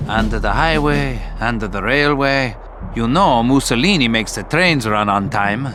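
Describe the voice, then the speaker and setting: An actor playing a pious, wry Italian officer in a video game cutscene, with an Italian accent and theatrical, expressive phrasing. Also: male voice, medium pitch, moderate pace, slight background noise, close to an everyday voice. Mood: wry, businesslike. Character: pious, wry Italian tank officer, anxious about his brother. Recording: loud music under the voice.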